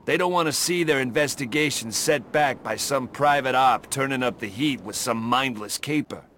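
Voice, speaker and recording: A man's voice: medium pitch, normal speed, fairly bright tone, a little hiss or hum, natural voice. A man playing a smug government agent in a video game, talking in a wry, sardonic way. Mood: warning. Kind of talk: game cutscene acting.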